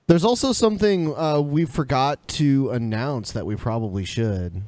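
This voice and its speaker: A man's voice: mid-range voice, animated, normal speed, a little mellow, no room sound. A man co-hosting a podcast, chatting casually in an informal, rambling way. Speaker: podcast co-host.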